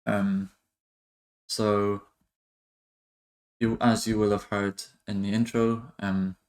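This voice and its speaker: A man giving a casual software tutorial, talking in a hesitant, halting way as he thinks aloud. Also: lowish voice, little pitch movement, low-quality recording. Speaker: music production tutorial host.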